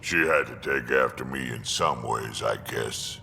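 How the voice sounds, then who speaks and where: An actor playing an ancient, blunt alien mercenary and grandfather with a gravelly voice in a video game. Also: rumbling low, measured pace, sharp tone, big-room sound, slight background noise, exaggerated voice. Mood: gruff pride. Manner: blunt, coarse. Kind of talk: video game character dialogue.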